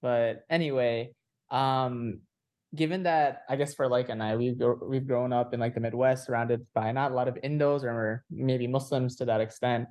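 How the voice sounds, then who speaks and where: A young man of twenty-three, born in Indonesia, co-hosts a casual podcast. He talks in a relaxed, easy way at a middling pitch. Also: normal speed. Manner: relaxed, says "you know" often. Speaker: podcast co-host. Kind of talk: casual chat.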